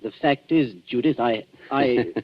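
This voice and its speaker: An actor playing an earnest, indignant gentleman in love, blunt and halting, in a 1940s radio thriller. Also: medium pitch, natural voice. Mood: flustered indignation. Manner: blunt, halting, stiffly resolute. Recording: muffled 1940s radio recording.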